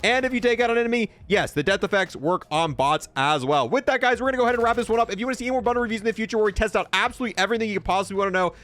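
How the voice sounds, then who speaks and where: High-pitched man, enthusiastic gaming commentator. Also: lively intonation, racing, hardly any pauses, a bit bright. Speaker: gaming video host. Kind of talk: casual game review commentary.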